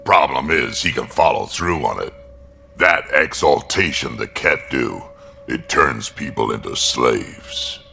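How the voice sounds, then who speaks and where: A battle-hardened male alien warrior from a video game, speaks in a rock-bottom, gravelly voice with a hard, forceful edge. He is blunt and ruthless, clipping his words like a soldier. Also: lively intonation, measured pace, slight background noise, exaggerated voice. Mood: grim, ruthless. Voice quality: very deep, gravelly.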